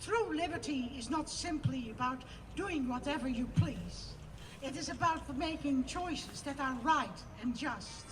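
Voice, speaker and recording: A woman with a Dutch accent giving an impassioned, declamatory protest speech outdoors at a rally, building to loud emphatic peaks. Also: high-pitched, animated, a little slow. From Netherlands. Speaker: protest speaker. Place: outdoor rally on parliament steps. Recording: distant mic, loud outdoor noise.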